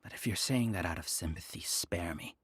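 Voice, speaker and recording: An actor playing a proud, resentful scholar villain in a video game, with a husky voice and dramatic delivery. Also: male voice, deep voice, brisk, a bit bright, no echo, clean studio sound. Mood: bitter, wounded. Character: proud, bitter defeated male scholar villain. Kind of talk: English video game voice acting.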